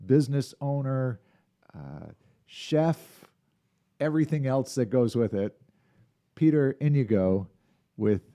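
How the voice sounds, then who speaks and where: A man's voice: medium pitch, expressive, takes it slowly, pauses often, dark tone. A man hosting a live podcast interview from a podcast room in a restaurant, chatty and rambling with restarts. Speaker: podcast host.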